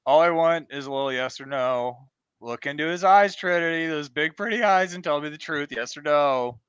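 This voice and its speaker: A man's voice: fairly high pitch, normal speed, fairly bright tone, clean tone, no echo, muffled recording, natural voice. A man playing a gloating, sneering traitor in a radio play reading, taunting his victims in a theatrical, slangy, mocking way.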